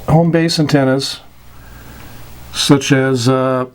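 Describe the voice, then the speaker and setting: Male instructor, slow and deliberate, mid-pitched, halting informal explainer delivery with long pauses. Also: fairly even intonation, clear, resonant tone.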